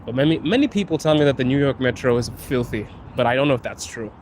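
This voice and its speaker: A man's voice: medium pitch, animated, fast talker. A man from Zimbabwe hosting a casual live stream, chatting with viewers and answering their questions while riding the metro. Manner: chatty, answers chat questions, laughs often. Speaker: livestream host. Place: Moscow metro train and platform. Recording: loud train and city noise.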